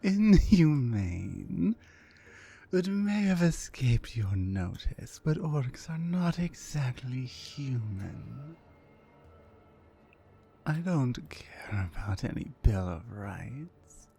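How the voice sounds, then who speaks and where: A woman playing a towering, mean, dominant orc captor in an ASMR roleplay, commanding and deliberate. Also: extremely low pitch, very animated, creaky, warm tone, heavily acted. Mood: cold, commanding. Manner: menacing, commanding, slow and deliberate.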